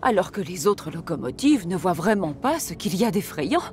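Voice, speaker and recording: A woman narrating a scripted children's story with expressive delivery. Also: fairly low pitch, moderate pace, slight background noise. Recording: music behind the voice.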